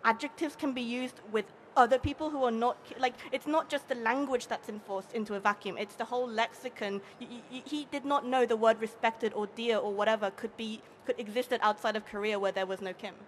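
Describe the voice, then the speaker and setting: A young woman from Hong Kong, a translator speaking on a panel at a table microphone, talking quickly with many restarts. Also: on the high side, fairly even intonation, slight background noise. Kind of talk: panel discussion. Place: bookfair panel stage with an audience, indoors.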